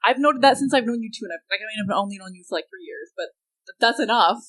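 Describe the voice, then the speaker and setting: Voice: medium pitch, lively intonation, quick pace, fairly bright tone. A podcast co-host chatting casually, hesitant and full of "like" fillers. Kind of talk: casual chat.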